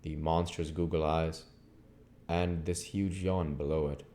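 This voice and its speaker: Deep-voiced man reading a story. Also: steady tone, unhurried, dark tone. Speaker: audiobook reader. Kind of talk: amateur storybook narration, read aloud.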